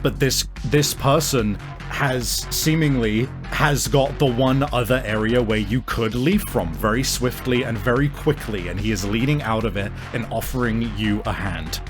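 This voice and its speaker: A man runs a tabletop roleplaying game over clearly audible music. He improvises descriptive, suspenseful narration with a halting delivery, in a mid-pitched voice. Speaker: game master. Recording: music behind the voice.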